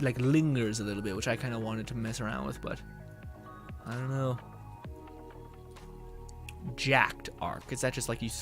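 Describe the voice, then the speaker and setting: A young man chatting casually on a game live stream, rambling and animated, laughing often and slipping into mock voices. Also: medium pitch. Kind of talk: casual live-stream chat while playing. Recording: game music faint underneath.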